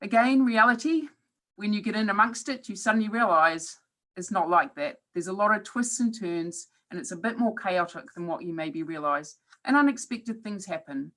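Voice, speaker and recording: Bright-voiced New Zealand woman lecturing. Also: mid-range voice, moderate pace. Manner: earnest, reflective, with hesitant fillers. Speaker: professor giving a webinar talk. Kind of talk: presentation talk.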